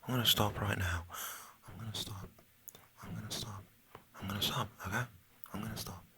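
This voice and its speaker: An American man putting on a Cockney British accent for a rambling, soft-spoken, partly whispered ASMR chat that repeats and trails off. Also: lowish voice, fairly even intonation, fairly quick, a little hiss or hum. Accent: put-on Cockney British. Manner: meandering, repetitive, often trailing off. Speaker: ASMR video creator.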